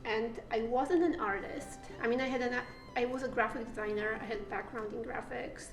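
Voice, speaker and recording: Polish-accented woman telling her story. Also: fairly high pitch. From Poland. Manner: halting, many fillers and restarts. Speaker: artist. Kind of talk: personal story interview. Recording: echoey room with faint music.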